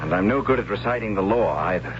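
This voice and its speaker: Lowish-voiced, artless young radio innocent. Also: male voice, lively intonation, dull audio, slight background noise. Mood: wistful, artless. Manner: hesitant, artless, halting. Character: simple, cheerful, inept young son of high priest. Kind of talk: 1970s radio drama acting. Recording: band-limited old radio broadcast, loud music bed.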